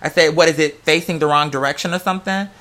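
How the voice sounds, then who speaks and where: Male vlogger, bright forceful high voice, casual storyteller, slangy, profane and theatrical. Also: steady tone, quick pace.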